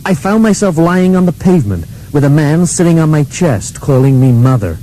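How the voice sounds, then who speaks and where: A man playing a deadpan, wry American detective narrating a comedy radio play, with an American accent. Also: on the high side, moderate pace, a little hiss or hum, rough recording. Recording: radio taped to cassette, faint music.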